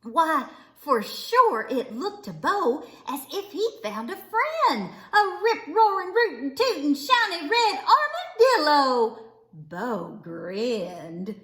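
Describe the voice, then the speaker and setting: A woman reading a children's story aloud with theatrical, deliberate phrasing. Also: sits high, very animated, a bit bright. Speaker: storybook reader. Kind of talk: expressive children's read-aloud narration. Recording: roomy, echoing indoor sound.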